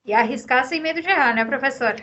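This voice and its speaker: A woman's voice: sits high, fast talker, bright, forceful tone. A woman hosting a program, interviewing a guest in a polite, formal way. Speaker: program host. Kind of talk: interview host.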